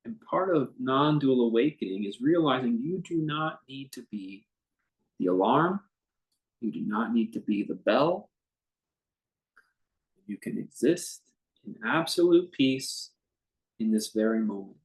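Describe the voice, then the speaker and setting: Slow-paced man guiding a meditation. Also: medium pitch, animated, low-quality recording. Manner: halting, gentle phrasing with long pauses. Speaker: meditation teacher. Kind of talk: guided meditation, unscripted and conversational.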